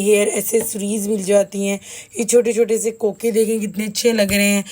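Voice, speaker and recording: A hoarse woman talks over her own vlog footage in a relaxed, chatty way. She speaks straight to her viewers, sprinkling in polite "ji"s and firm little pieces of advice. Her voice sits at an ordinary middle pitch. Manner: chatty, addresses viewers with frequent "ji", opinionated tips. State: hoarse, sore throat. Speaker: vlogger, mother. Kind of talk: casual shopping vlog voice-over.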